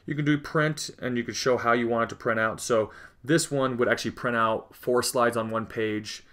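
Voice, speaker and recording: A man's voice: medium pitch, steady tone, moderate pace, a bit bright. A man hosting a tech tutorial, talking casually through an on-screen walkthrough with frequent fillers and self-corrections. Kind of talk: unscripted screen-recording walkthrough.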